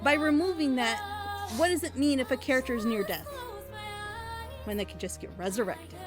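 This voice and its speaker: High-pitched opinionated woman over loud music. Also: lively intonation, fairly quick. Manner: opinionated, emphatic. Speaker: reaction video host, critic. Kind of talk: casual reaction commentary.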